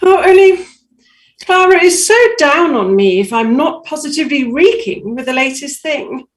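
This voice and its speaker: An impoverished but genteel lady in a period stage comedy, acted by a woman. Her high voice lilts widely, plaintive, apologetic and confiding. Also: animated, moderate pace. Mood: fretful. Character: genteel, apologetic, anxious impoverished society mother.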